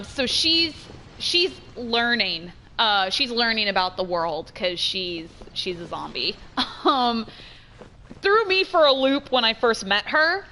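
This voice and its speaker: A woman giving casual let's-play commentary, chatty and excitable, thinking out loud as she plays. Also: fairly high pitch, slight background noise, poor audio. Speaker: gaming streamer. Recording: game music behind. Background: game music.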